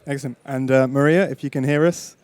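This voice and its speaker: A man's voice: medium pitch, steady tone, quick pace, darkish tone, no room sound. A man moderating a panel discussion, introducing guests and explaining the format, with a hesitant delivery full of fillers and restarts.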